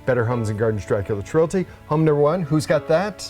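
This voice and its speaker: A man hosting a real estate radio show, introducing guests in a brisk, friendly way. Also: fairly high pitch, animated, a little mellow, soft background music. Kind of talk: casual radio interview.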